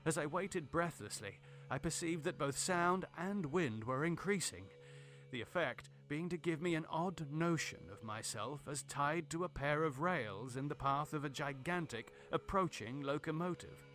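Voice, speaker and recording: A man reading literary horror as a dramatic narrator, in a measured, grave and deliberate way. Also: a little high, fairly bright tone. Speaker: narrator, audiobook reader.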